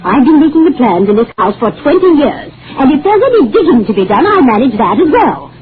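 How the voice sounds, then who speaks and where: A middle-aged wife who rules the household, scolding and sharp-tongued. Her voice swings dramatically up and down in 1940s radio style. The sound is narrow and tinny, like an old broadcast. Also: high-pitched, big pitch swings, fairly quick, crowd noise. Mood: bossy, exasperated. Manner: domineering, nagging, sharp-tongued.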